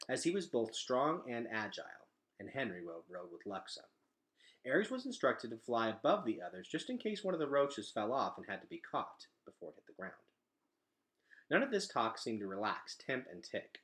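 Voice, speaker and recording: A man reading a children's novel aloud as an expressive storyteller. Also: medium pitch.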